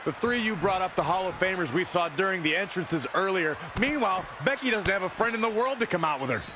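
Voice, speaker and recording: Man doing color commentary on wrestling, highish pitched, really loud arena din and slams. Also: expressive, quick pace, noisy background. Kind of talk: scripted sports commentary for a video game. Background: loud wrestling arena ambience, slams.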